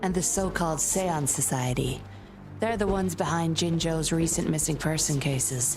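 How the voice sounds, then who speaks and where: A woman voicing a composed, secretive undercover investigator in a video game, speaking in a measured, guarded way. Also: low-pitched, natural voice. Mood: explaining. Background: game music.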